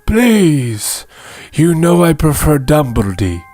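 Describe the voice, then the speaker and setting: A man's voice: fairly high pitch, very animated, slow pace, mellow, soft background music. A man voicing a forgetful, pompous old wizard headmaster in a comic audio story. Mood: fussy.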